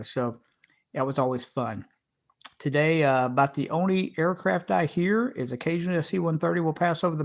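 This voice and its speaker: A middle-aged man with a Southern American accent hosts his own livestream, chatting casually to viewers. He rambles, stops and restarts often, and fills gaps with plenty of "uh"s. His voice sits at an ordinary pitch for a man. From Georgia, USA. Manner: rambling, many fillers and restarts. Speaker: channel host.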